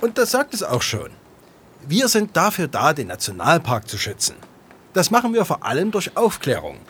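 A man's voice: a little high, fairly bright tone, breathy edge, exaggerated voice. A man playing a friendly, patient national park ranger who explains things to children in an audio drama.

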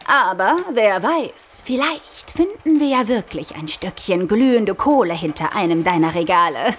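A wry, sceptical female companion played by a woman in a radio play. Her medium-pitched voice is conversational and ironic, always teasing. There is faint ambience behind her. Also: lively intonation, a little slow, a little hiss or hum, natural voice. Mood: wry, fond.

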